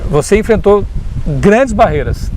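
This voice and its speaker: A male host of a religious interview who steadily affirms his guest and adds reflective comments. His voice sits somewhat high for a man. Faint noise runs underneath. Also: animated, unhurried. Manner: affirming, with frequent short "uhum" replies and reflective asides.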